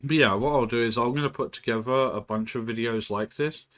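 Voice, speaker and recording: A man streaming from home, chatting casually and rambling, who often clears his throat and swears. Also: medium pitch, a little fast, a bit bright. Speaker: live streamer. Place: indoors at home.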